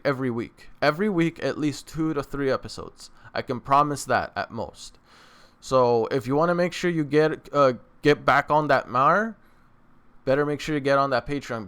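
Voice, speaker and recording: A man's voice: medium pitch, fairly bright tone, clean tone. A man hosting a reaction video in casual, rambling chat, often repeating and rephrasing himself. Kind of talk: casual review chat to camera. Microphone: microphone on a stand.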